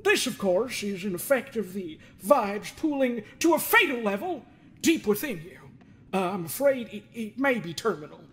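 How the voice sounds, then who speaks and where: A woman's voice: medium pitch, sing-song, normal speed, natural voice. A woman voicing a smug, scolding middle-aged male therapist of the universe in an improvised comedy game dub, rambling, folksy and theatrical. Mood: mock-clinical. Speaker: fan dub voice performer. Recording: music under the voice.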